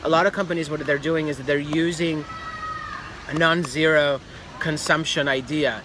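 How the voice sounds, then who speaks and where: A man's voice: a little high, steady tone, moderate pace. A man from Albania talking casually as an interview guest, emphatic and repeating phrases for stress. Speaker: fashion designer, interview guest. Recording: noisy outdoor street with traffic.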